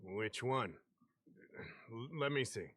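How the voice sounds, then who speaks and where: A man's voice: mid-range voice, lively intonation, slow pace, fairly bright tone, dry recording, clean studio sound. A man voicing a polite, formal, businesslike investigator in an anime-style video game. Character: courteous, businesslike male investigator. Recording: game music behind the voice.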